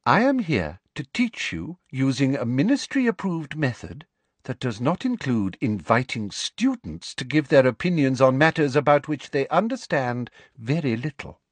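Man doing a smooth, condescending middle-aged witch, pitched high, lively, husky edge. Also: moderate pace, no room sound. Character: smug sickly-sweet female ministry teacher, middle-aged.

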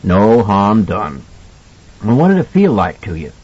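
Guy acting a kindly folksy older man, husky, swoopy pitch, audiobook, slightly dull sound. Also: wildly swooping pitch, unhurried, a little mellow, no echo, full character voice. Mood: gentle, probing. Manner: folksy, avuncular, colloquial.

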